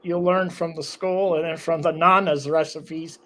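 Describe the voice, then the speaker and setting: A man's voice: a little high, fairly even intonation, unhurried, fairly bright tone, slight background noise. Chatty rambling male host. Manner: chatty, rambling with many fillers and restarts. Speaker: talk show host. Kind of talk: casual local interview.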